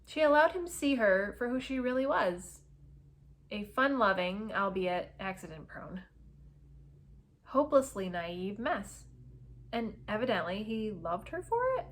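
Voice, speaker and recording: A woman narrating a novel in an expressive, dramatic storybook read-aloud style with emphatic phrasing. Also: medium pitch, a little slow.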